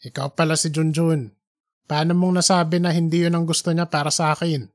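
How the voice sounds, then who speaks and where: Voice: medium pitch, little pitch movement, brisk, lightly acted. A troubled grown son as a narrator plays him, in a middling register. He sounds aggrieved and confiding, a man worn by his father's expectations, in an audiobook drama. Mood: hurt, frustrated.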